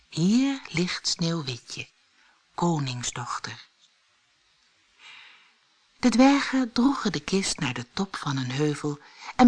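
A woman with a low, husky voice tells a fairy tale in measured, dramatic narration. Her delivery is very expressive, with faint music behind. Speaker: storyteller.

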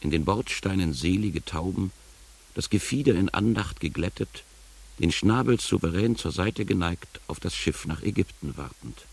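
An actor playing a brooding, poetic narrator of a radio play, speaking in deliberate, incantatory fragments. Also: male voice, deep voice, gravelly, darkish tone, husky, full character voice. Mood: solemn, poetic. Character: brooding poetic male narrator, an inner voice of the city. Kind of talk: literary 1980s German radio play narration. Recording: hissy 1980s radio play tape.

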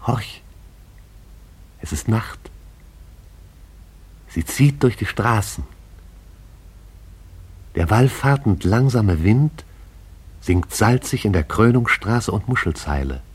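Radio drama narrator, male: low, husky voice, poetic and incantatory, hushed intensity. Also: expressive, slow, pauses often.

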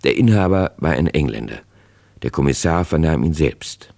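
A man narrating a true crime radio play as a sober storyteller, scripted and measured, building suspense. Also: rumbling low, animated, gravelly, a little mellow, full character voice. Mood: sober narration.

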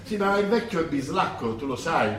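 An actor playing a wily, unctuous older cardinal in an Italian radio drama, measured and preachy. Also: male voice, fairly high pitch, lively intonation, a bit bright. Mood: coolly persuasive. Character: wily, unctuous high-ranking cardinal, male, older, coolly manipulative. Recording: echoey stage recording, distant mic, hiss.

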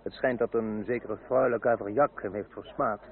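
Actor as talkative French gentleman, tinny sound. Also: lowish voice, steady tone, normal speed, natural voice. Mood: gossipy, teasing. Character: talkative, politically indignant French gentleman confidant. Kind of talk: radio play acting. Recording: tinny, band-limited old radio recording.

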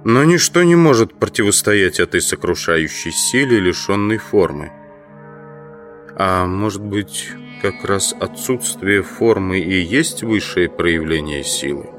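An actor playing a questioning, brooding trapped scientist in an audio drama. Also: male voice, sits low, expressive, measured pace, fairly bright tone, soft background music. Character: puzzled, brooding entomologist trapped in a sand pit.

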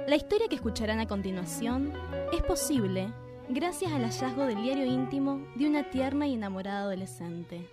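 A woman's voice: a little high, lightly acted. A woman playing the storyteller, reading scripted narration for a romantic radio play.

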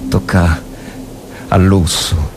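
A man reading a short story as narrator, in a grave, deliberate dramatic style with pauses between phrases. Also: low-pitched, fairly even intonation, loud music behind the voice.

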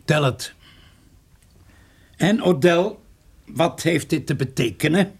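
A man playing a sceptical police inspector in a radio drama, probing coolly and sardonically in a gravelly, rough voice. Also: fairly high pitch, expressive, slow, full character voice. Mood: sceptical, stern.

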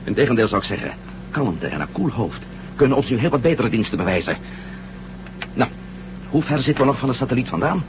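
A man acting in a Dutch radio drama as a bold, quick-witted former astronaut, persuasive and decisive. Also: medium pitch, very animated, lightly acted. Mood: brisk, calm. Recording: muffled, noisy old radio drama recording.

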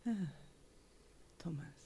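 Guarded woman played by a workshop actress, slow and mellow, halting, repeats herself, improv radio play. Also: a little hiss or hum. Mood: subdued, uneasy. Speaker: radio drama workshop participant.

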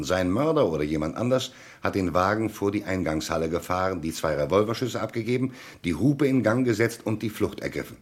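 A low, animated male voice plays a shrewd commissioner in a German radio crime play. He is husky and insistent, laying out each point methodically and pressing for answers with theatrical emphasis. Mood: probing, firm. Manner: methodical, probing, declamatory interrogation.

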